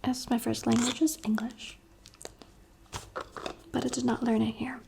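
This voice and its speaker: Young woman ASMR creator from the UAE, soft unvoiced whisper, chatty and candid, faint hiss behind. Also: a little high, flat delivery, a little slow, gravelly.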